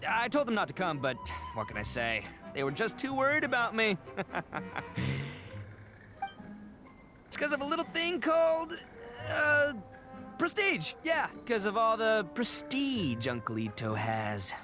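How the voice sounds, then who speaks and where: An actor playing a boisterous horned oni man in a video game, loud and boastful, stammering as he bluffs. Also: high-pitched, big pitch swings, normal speed. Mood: bluffing. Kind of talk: video game cutscene acting. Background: game music.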